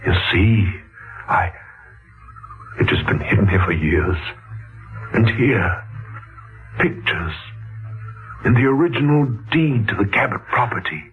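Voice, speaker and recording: An actor playing a solemn, bewildered old patriarch back from the dead, halting and dramatic in 1940s radio style. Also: male voice, fairly low pitch, animated. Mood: urgent recollection. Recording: tinny 1940s radio recording, faint music.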